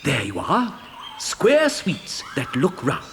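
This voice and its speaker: A gleeful, eccentric factory owner in a children's audio drama. His high voice leaps wildly about as he hesitates and flusters like a mischievous showman. There is faint hiss on the recording. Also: wildly swooping pitch, takes it slowly, gravelly, exaggerated voice. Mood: showing off.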